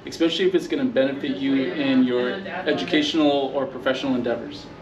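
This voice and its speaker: A man's voice: medium pitch, steady tone, fast talker, crowd noise. A man being interviewed, chatting casually and hesitantly. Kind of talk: casual chat. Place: backstage dressing room. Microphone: camera across the room. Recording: dull, echoey, noisy distant camera mic.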